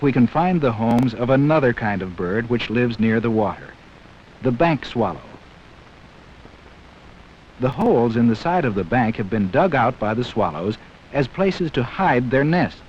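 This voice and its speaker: Hissy vintage film narrator, lively man. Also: mid-range voice. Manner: measured, explanatory, addressing young viewers directly. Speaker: narrator of an educational nature film. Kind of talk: 1960s educational film narration, scripted. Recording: dull, hissy 1960s 16mm film soundtrack.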